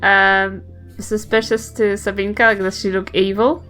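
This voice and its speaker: A woman's voice: medium pitch, level tone, moderate pace, fairly bright tone. A woman chatting casually as a player in a tabletop game. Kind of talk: casual chat. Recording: music under the voice.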